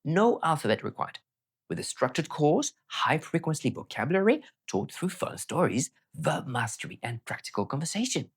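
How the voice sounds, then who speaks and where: Animated language teacher with a husky voice. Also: mid-range voice, normal speed. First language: not Arabic, learned it as a foreign language. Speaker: language teacher presenting their own learning platform. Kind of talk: scripted explainer.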